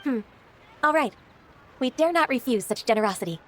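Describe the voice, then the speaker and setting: Woman voicing gentle ageless anime game heroine, lightly high pitch, polite, dutiful, soft-spoken, faintly noisy capture. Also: lively intonation, brisk, natural voice. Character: gentle, dutiful, ageless half-adeptus woman secretary, modest and sincere. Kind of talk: anime video game voice acting.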